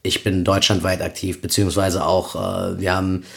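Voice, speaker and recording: Very deep-voiced chatty man. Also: monotone, moderate pace, vocal fry. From Peru. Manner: chatty, rambling with many fillers. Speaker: guest, event promoter. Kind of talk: casual podcast interview.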